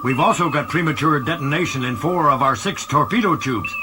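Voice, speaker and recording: A man's voice: fairly high pitch, fairly quick, noisy background, voice pushed up, close to an everyday voice. A man voicing a dutiful, grim starship officer who reports in a clipped military way in a science fiction audiobook. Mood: grim, urgent. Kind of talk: audiobook character dialogue.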